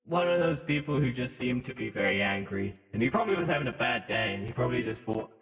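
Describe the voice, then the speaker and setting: A man's voice: mid-range voice, lively intonation, fast, fairly bright tone, faint music behind. A man with a British accent leaning American, joking and swearing in casual podcast banter through a voice modulator. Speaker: podcast co-host. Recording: echoey, long reverb on voice.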